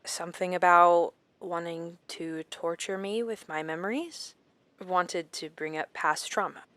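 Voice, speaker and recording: An actress playing a shaken woman recounting her captivity in a horror audio drama, halting and dramatic with deliberate pauses. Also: lowish voice, steady tone, a bit bright, clear, resonant tone, no echo. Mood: shaken, unsure. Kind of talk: first-person horror audio drama narration.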